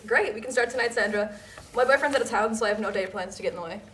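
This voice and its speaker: A woman's voice: fairly high pitch, rapid-fire, fairly bright tone, lightly acted. A woman playing a brazen, passive-aggressive transfer student in a table read of a drama script. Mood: breezy, pointed. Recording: faint noise, amateur room recording.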